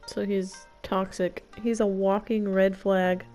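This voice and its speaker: Slow-talking woman streaming a game, theatrical. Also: mid-range voice. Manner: theatrical, deadpan joking. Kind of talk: playful live gameplay commentary with improvised dramatics. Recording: game music behind the voice.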